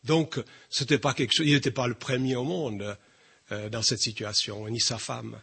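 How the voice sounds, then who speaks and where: A man's voice: mid-range voice, fairly even intonation, normal speed, vocal fry, a bit bright, slightly rough. A man thinking aloud as he shares an informal reflection at church. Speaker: church member sharing a reflection.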